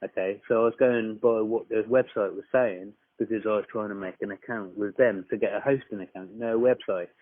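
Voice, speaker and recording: A man's voice: lowish voice, steady tone, a little fast, few pauses, no echo. A man making an argumentative complaint over the phone, insistent, blunt and repetitive. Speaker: caller disputing a tax account. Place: phone call, recorded. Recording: dry, dull lo-fi phone call recording.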